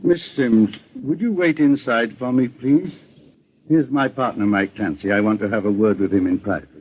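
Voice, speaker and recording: A dull, faintly noisy 1940s radio recording of a middle-aged detective played by a male actor. He is measured and courteous, with a calm authority as he questions people. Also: mid-range voice, close to an everyday voice. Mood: probing, courteous.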